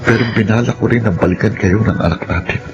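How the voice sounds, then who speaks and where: A man acting as a regretful Filipino father in a romantic audio drama. His voice is deep, his delivery earnest and halting, and the sound is slightly dull with music clearly underneath. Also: close to an everyday voice. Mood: remorseful, confessional. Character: remorseful, pleading father returning to his abandoned love.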